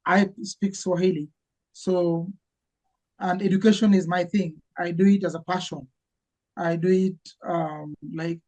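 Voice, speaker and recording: A man from Kenya, a guest speaking earnestly and haltingly as he searches for words. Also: high voice, mellow, poor audio. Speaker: conservationist and medic guest. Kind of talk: casual interview chat.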